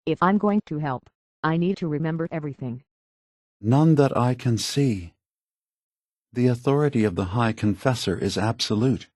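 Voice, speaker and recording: An actor playing a weary, guilt-burdened synth leader in a video game, speaking softly in a measured, grave, philosophical way. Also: male voice, a little high, no room sound, studio quality. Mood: shaken, pleading. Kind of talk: scripted video game character dialogue.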